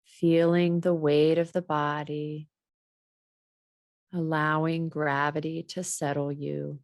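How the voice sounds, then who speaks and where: A woman guiding a body scan meditation in a measured, gently instructional way. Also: low-pitched, flat delivery, dry recording, clean studio sound. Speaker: meditation teacher.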